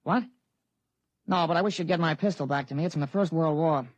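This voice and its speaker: A man's voice: on the high side, fairly quick, no echo, natural voice. An actor playing an urgent man making a formal, clipped report by phone in a 1950s radio drama. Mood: urgent, official. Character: boy impersonating his father, urgent adult man on phone. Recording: dry vintage 1950s radio recording.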